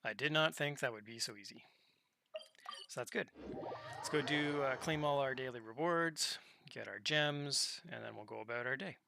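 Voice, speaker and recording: A man's voice: medium pitch, normal speed, creaky, sharp tone, slight background noise. A man doing casual, easygoing video game commentary, thinking aloud as he plays. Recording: game music behind voice. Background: video game music.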